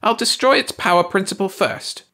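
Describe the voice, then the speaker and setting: A man voicing a shrill, excitable male alien scientist who squeaks and shrieks in a storybook reading. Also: normal speed. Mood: shrill, fretful. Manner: shrieking, agitated, high-pitched.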